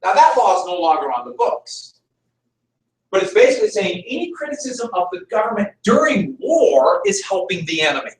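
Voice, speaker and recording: A man's voice: high voice, very animated, moderate pace. A male history teacher giving a conversational classroom lecture, repeating key points for emphasis. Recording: echoey room, mic far off.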